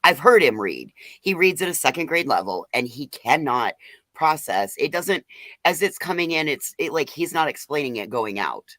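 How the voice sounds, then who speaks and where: Very deep-voiced woman, firm and lively. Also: moderate pace, no echo. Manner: firm, corrective explaining. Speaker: livestream panelist. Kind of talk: heated panel chat.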